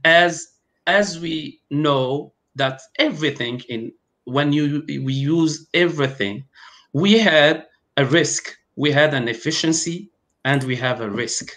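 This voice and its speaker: Halting man. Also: fairly high pitch, a bit bright. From Algeria. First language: Arabic and French. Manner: halting, many fillers and restarts. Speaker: guest pharmacovigilance specialist. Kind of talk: online interview. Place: home room, video call.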